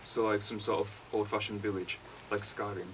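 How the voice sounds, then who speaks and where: A young man, a college student giving an informal class presentation in a flat, hesitant way. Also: lowish voice, normal speed. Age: young adult. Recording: distant mic, faint room noise.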